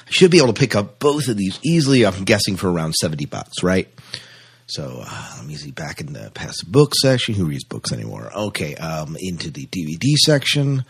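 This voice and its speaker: A man acts out an exasperated, penny-pinching male shopper in a comedy radio ad. His delivery swings widely from muttering to himself to incredulous protest. The voice is a little husky. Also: deep voice, very animated, brisk, exaggerated voice. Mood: muttering, browsing. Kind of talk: hammy comedic ad skit monologue.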